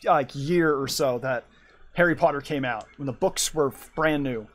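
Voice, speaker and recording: A man's voice: mid-range voice, moderate pace. A young man in his twenties chatting and rambling on a live art stream as he draws, laughing often. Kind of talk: casual chat while drawing.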